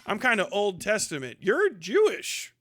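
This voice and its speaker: A man's voice: high voice, huge pitch swings, takes it slowly, fairly bright tone, dry recording, studio quality. A man doing casual, off-the-cuff live commentary on the news in a blunt, sardonic, rambling and argumentative way, with some swearing.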